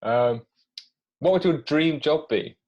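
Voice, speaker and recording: A man's voice: a little high, takes it slowly, dull audio. A man speaking as an interview host in a conversational style.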